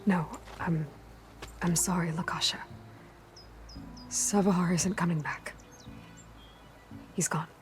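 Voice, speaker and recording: A capable young hunter, steady and compassionate. Her voice is lowish and even, with game music under the scene. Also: slow pace, pauses often. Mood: gentle. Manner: calm, steady. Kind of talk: video game cutscene acting.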